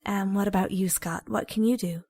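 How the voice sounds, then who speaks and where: A woman's voice: medium pitch, steady tone, normal speed, darkish tone, breathy edge, no echo, studio quality. A young woman playing a nervous, hesitant teenage newcomer in an audio drama. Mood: curious. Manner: hesitant, reflective. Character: nervous teenage girl newly arrived at a mutant school.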